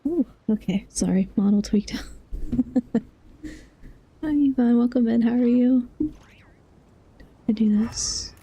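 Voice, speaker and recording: Mellow, dark-toned, higher-pitched woman streamer. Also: slow pace, long pauses, slightly rough, low-quality recording. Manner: rambling, thinking aloud. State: sleepy, yawning. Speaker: live streamer. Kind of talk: casual game stream chat.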